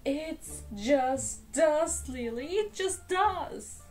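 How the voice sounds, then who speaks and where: A woman's voice: sits high, expressive, extremely slow, a little mellow, soft background music, voice pushed up, full character voice. A woman voicing a patient but exasperated father in a children's storybook, explaining things with pleading emphasis. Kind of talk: storybook character voice.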